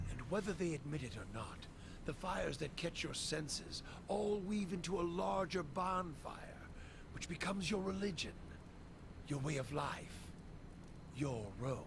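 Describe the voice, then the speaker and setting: An actor playing a zealous, bitter wandering fire priest in a video game, preaching in a cryptic, ominous, gravelly manner. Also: male voice, fairly high pitch, expressive, a little slow, background noise. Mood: brooding, preachy. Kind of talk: video game voice acting, grandiose monologue.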